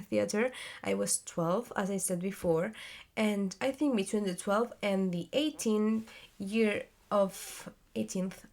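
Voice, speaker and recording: A woman in her twenties with a Spanish accent, a theater actress talking to camera in a casual vlog, often pausing with fillers and restarting as she searches for words. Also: mid-range voice, creaky. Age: 20s.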